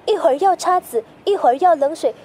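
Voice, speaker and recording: An actress playing a chatty, timid young friend with a Singaporean accent, inquisitive and playful in a radio drama. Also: female voice, very high-pitched, lively intonation, quick pace, darkish tone, a little hiss or hum. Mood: chatty, curious. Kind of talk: radio drama acting, conversational.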